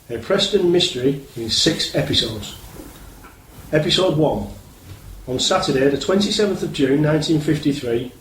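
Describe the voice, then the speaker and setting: Male narrator, scripted radio play introduction, slightly high pitch, roomy echo. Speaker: announcer and narrator. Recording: echoey room, faint background noise.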